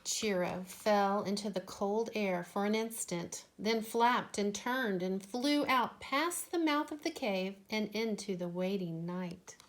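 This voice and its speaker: A woman reading a children's storybook aloud expressively, with measured, deliberate phrasing. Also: mid-range voice, low-quality recording.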